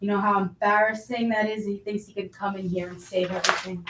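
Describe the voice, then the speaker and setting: Heard from a distance in a roomy space, this is a man acting out an abrasive male restaurant boss in a stage play. He is high-voiced, blunt and sneering, and treats everyone with bullying contempt. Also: flat delivery, brisk, sharp tone. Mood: irritated. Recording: roomy echo, distant microphone.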